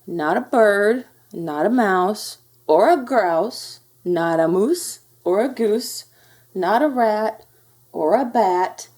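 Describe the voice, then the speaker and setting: A woman's voice: medium pitch, expressive, normal speed, few pauses, mellow, close to an everyday voice. A woman voicing an exasperated mother cat who lectures sternly and emphatically in a children's storybook. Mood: stern lecturing. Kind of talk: children's storybook read-aloud.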